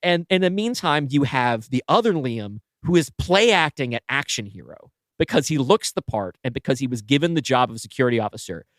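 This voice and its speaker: A man's voice: mid-range voice, expressive, fairly quick, few pauses, dry recording. A man co-hosting a podcast, brainstorming in a casual, informal way and often checking in with "right?". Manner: informal, frequent "like" and tag "right?". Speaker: podcast co-host. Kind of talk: casual brainstorming chat about a story.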